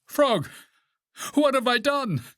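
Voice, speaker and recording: Reader playing a storybook toad, high-pitched, excitable, exclamatory, pleading, very swoopy delivery. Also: slow pace, no echo, studio quality, exaggerated voice. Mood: anxious, pleading. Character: boastful then anxious, lonely toad. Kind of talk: animated children's storybook character reading.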